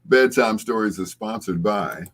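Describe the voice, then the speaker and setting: A man hosting a late-night music radio show, chatting casually and informally to his live listeners in a husky voice. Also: lowish voice, unhurried, fairly bright tone. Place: broadcasting on radio and live video stream.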